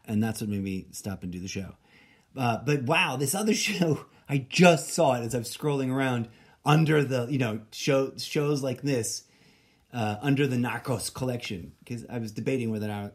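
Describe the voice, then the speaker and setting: A man who grew up speaking Spanish in Mexico, hosting a solo podcast and thinking out loud, rambling with lots of fillers and restarts. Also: medium pitch. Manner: rambling, self-interrupting, many fillers and restarts. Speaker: podcast host. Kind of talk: solo late-night musing podcast.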